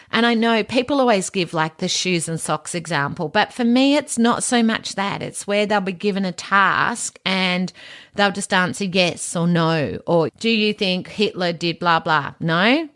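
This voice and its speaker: A woman's voice: fairly low pitch, a bit bright. A woman hosting an education podcast, talking informally and emphatically in a teaching monologue with rhetorical questions. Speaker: podcast host and education presenter.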